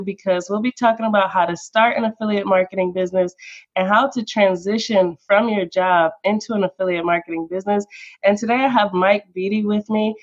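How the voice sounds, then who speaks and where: Woman interviewer, bright and encouraging, mid pitch, muffled recording. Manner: upbeat, encouraging. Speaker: interviewer, channel host. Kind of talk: scripted intro, then casual interview. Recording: dull lo-fi audio.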